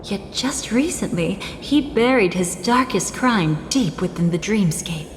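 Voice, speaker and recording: A young woman voicing an enigmatic, teasing game character who is composed and calmly fond of danger. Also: medium pitch, unhurried, slight background noise, lightly acted. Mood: calm, intrigued. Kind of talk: video game cutscene voice acting. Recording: long echoing reverb, game music behind.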